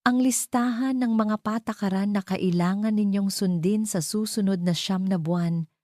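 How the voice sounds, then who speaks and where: A woman's voice: medium pitch, steady tone, moderate pace, darkish tone, no echo, studio quality, voice pushed up, close to an everyday voice. A woman voicing a formal, polite, businesslike lawyer in a dramatic story.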